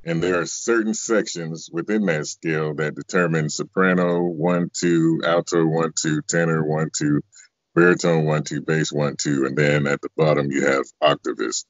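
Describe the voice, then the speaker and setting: Very deep-voiced American bass man. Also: steady tone, normal speed, no room sound. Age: middle-aged. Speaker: guest, bass singer. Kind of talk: casual interview chat. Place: small room at home, indoors. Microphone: video call, close to device. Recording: dull lo-fi video call audio.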